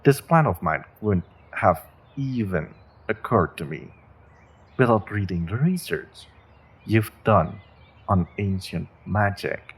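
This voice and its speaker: A man's voice: fairly low pitch, animated, takes it slowly, husky, full character voice. A man playing a menacing, condescending evil sorcerer in a fantasy audio roleplay, speaking to a captive with theatrical deliberation. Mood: grudging admiration. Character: menacing, controlled evil male sorcerer, captor taunting prisoner. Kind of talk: scripted fantasy audio roleplay, intimate second-person monologue.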